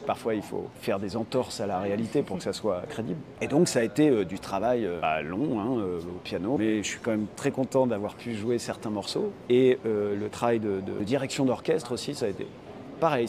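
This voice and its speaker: A man's voice: lowish voice, mellow. A man interviewed about preparing a film role, talking casually and hesitantly with many restarts. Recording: noisy crowd behind.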